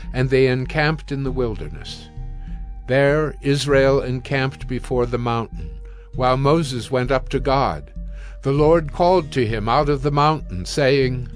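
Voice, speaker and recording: A man narrating dramatized scripture in a measured, solemn, clearly phrased way. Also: mid-range voice.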